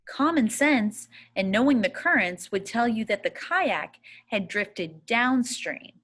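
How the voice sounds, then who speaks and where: A woman reading a first-person novel aloud as a storyteller, in a measured, expressive way. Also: on the high side. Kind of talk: audiobook-style readaloud of a first-person children's novel.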